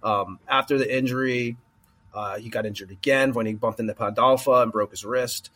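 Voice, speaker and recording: Husky man reading quiz clues. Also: mid-range voice, steady tone, moderate pace, fairly bright tone. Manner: reads clues then adds offhand comments. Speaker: quiz host. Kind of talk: casual podcast chat, reading trivia clues.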